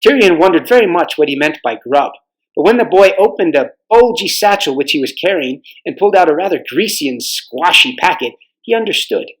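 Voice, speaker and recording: A man reading aloud as a volunteer storyteller, with a measured storybook narration style for families. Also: a little high, expressive, rough recording.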